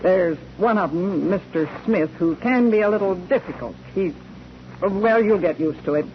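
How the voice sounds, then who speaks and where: A woman's voice: lowish voice, moderate pace, close to an everyday voice. An actress playing a homely, practical widowed landlady, motherly but firm, in 1940s radio drama style. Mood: homey, friendly. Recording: dull, noisy old radio recording.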